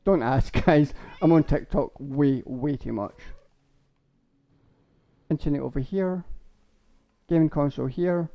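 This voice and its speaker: A young man with a sore, hoarse throat, rambling casually and laughing as he shows off a house in a game. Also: a little high, unhurried, long pauses, soft background music. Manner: rambling, chatty, laughs a lot, says basically often. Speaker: gaming streamer. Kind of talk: casual Minecraft house tour. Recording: dull lo-fi stream audio.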